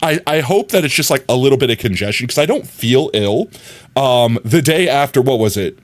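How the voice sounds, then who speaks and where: A man's voice: medium pitch, animated, a little fast. A young man streaming live, chatting casually to his viewers with a slightly nasal voice, rambling and often giggling.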